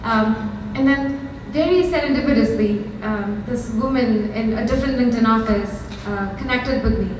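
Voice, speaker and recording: A young woman from India tells her own story to an audience in an Indian accent, candid and conversational with plenty of ums. Her voice sits slightly high. It carries from a distance through a very echoey hall with steady background noise. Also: moderate pace, hardly any pauses. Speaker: startup founder giving a talk. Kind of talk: storytelling lightning talk. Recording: very echoey hall from far off, noisy.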